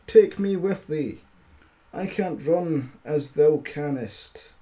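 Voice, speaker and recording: A man's voice: on the high side, drawn-out words, warm tone. A man voicing a pleading, insistent talking frog from a storybook, calling out in archaic phrases.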